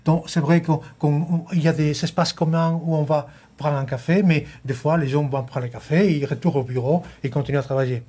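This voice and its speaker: A man's voice: a little high, fairly even intonation, brisk, few pauses, dark tone, breathy edge. A man speaking as a guest on a studio panel, hesitant, with many restarts.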